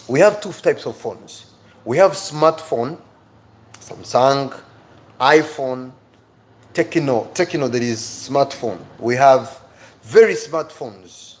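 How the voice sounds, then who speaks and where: Slow-paced East African man teaching. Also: medium pitch. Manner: emphatic, repeats key words and phrases for effect. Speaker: English teacher hosting a live online class. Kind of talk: informal live-stream lesson, addressing viewers by name.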